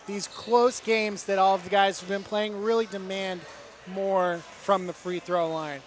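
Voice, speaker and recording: A man's voice: high voice, steady tone, measured pace, slight background noise. A man commentating a college pregame show in a gymnasium, upbeat, loose and jokey. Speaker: sports commentator.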